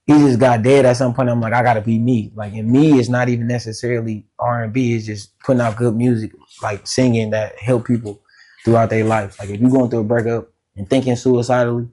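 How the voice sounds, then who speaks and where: Raspy-voiced male guest, loose and slangy, easy podcast chatter. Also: mid-range voice, flat delivery, a little fast, warm tone, low-quality recording. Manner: laid-back, slangy, laughs often. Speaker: musician guest. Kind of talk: casual podcast interview.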